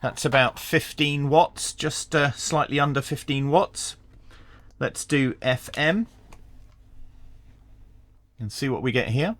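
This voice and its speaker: A man giving a hands-on tech review in a measured, matter-of-fact way. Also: mid-range voice, fairly bright tone, no echo. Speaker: product reviewer.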